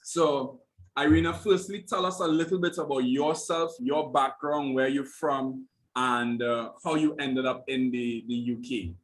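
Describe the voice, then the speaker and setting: A man with a mid-range voice hosts a recorded video call meeting. He addresses the group directly, pausing often with fillers. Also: medium pitch, rough recording. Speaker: meeting host. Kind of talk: online meeting talk.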